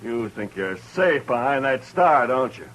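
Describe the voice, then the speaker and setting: A man's voice: mid-range voice, sing-song, unhurried, fairly bright tone. A man playing a vengeful townsman who accuses and threatens a lawman in a 1950s radio western, his speech hostile and bitter.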